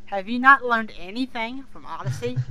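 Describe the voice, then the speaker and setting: A podcast co-host chatting casually as a fan, speaking in a halting way with hesitations that trail off. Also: medium pitch, animated, bright, forceful tone.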